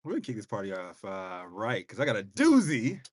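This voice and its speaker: A man's voice: on the low side, wildly swooping pitch, fairly quick, husky, dry recording. Male host with a wry news read. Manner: reads the story with wry asides and slang. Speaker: co-host, news segment presenter. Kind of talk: casual news commentary.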